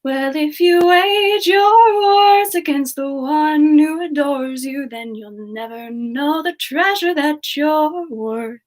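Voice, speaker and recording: A woman voicing a gentle, friendly young siren demon in a semi-ASMR livestream chat, speaking softly and hesitantly with polite warmth toward listeners. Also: sits high, slow, hardly any pauses, faint music behind. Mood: tender singing. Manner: soft-spoken, hesitant, polite, breaking into murmurs. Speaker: livestream host in character.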